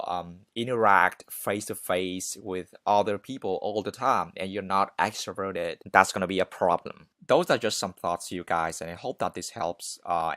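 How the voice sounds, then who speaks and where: Man, low voice, informal podcast monologue, conversational, emphatic, very dry sound. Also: a bit bright, no echo. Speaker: podcast host. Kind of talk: casual advice monologue to listeners.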